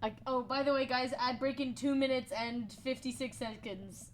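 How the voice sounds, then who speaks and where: A woman's voice: high-pitched, moderate pace, slight background noise. A woman streamer chatting casually and playfully with her co-player, often laughing. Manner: giggly, playful. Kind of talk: casual live-stream chat.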